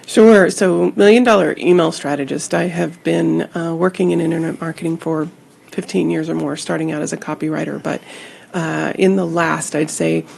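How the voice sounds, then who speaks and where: A woman's voice: low-pitched, fairly even intonation, moderate pace, creaky, a little mellow, husky. A woman from Georgia, a marketing guest, giving friendly step-by-step answers in an interview. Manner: friendly, explains step by step, some fillers. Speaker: guest, email marketing strategist.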